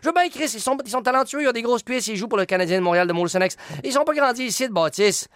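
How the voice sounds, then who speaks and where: A man's voice: very high voice, lively intonation, very fast, a bit bright, no echo, clean studio sound, pitched above the performer's own voice. A Quebec man playing a pompous, haughty intellectual in a comic radio monologue, with theatrical, affected diction, indignant and rambling. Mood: outraged rant. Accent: Quebec French. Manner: theatrical, affected formal diction with crude slips.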